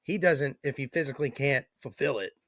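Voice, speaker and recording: A man's voice: mid-range voice, a little fast, fairly bright tone, no room sound. A man hosting a wrestling podcast in laid-back, slangy casual chat. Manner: laid-back, loose, slangy, lots of fillers. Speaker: podcast host.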